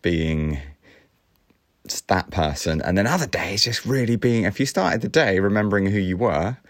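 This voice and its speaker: A man's voice: low voice, animated, moderate pace, no room sound, studio quality. A man co-hosting a casual show, rambling through chatty stories over a video call. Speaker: co-host. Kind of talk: casual chat.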